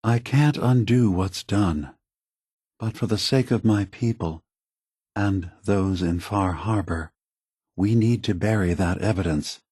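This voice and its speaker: An actor playing an ancient synthetic android leader in a video game, calm, sorrowful and earnest, with measured, deliberate delivery. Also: male voice, low-pitched, husky, dry recording, clean studio sound, exaggerated voice. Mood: solemn pleading. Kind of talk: scripted video game dialogue.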